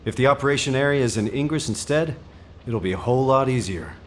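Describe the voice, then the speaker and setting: An actor playing a decisive military commander in a video game, firm, authoritative and matter-of-fact. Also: male voice, mid-range voice, expressive, fast, a little hiss or hum, natural voice. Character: decisive male military commander briefing his team. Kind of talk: scripted game dialogue. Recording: faint game ambience behind voice.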